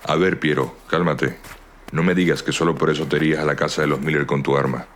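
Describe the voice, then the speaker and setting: A man playing a level-headed army sergeant in an amateur audio drama, calm, stern and authoritative. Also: very deep voice, fast talker, slight background noise.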